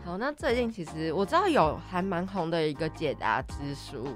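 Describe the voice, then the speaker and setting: A woman's voice: on the low side, big pitch swings, normal speed, faint music behind. A woman co-hosting a podcast, chatting casually about everyday topics. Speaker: podcast co-host. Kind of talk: casual scripted-topic chat.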